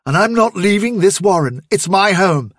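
A British man voicing a stubborn, decisive male rabbit chief who talks briskly and firmly in a storybook. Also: on the high side, animated, breathy edge, no room sound, studio quality. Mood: firm, defiant. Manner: brisk, urgent, firm.

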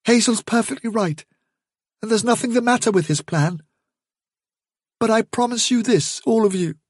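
A man voicing a small male rabbit who speaks quietly and firmly. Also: very high voice, moderate pace, no room sound, clean studio sound, pitched above the performer's own voice. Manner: quiet, soft, firm.

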